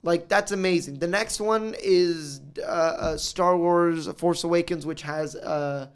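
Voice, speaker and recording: A man with a notably high voice, talking in a loose, casual discussion. He is animated and keeps acting out little quoted exchanges as he makes his points. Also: measured pace, clean tone. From United States.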